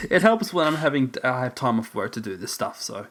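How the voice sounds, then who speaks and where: A young man co-hosting a podcast, joking and animated in casual chat. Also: mid-range voice, normal speed, a little hiss or hum. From Australia. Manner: jokey, animated, laughs often. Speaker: podcast co-host.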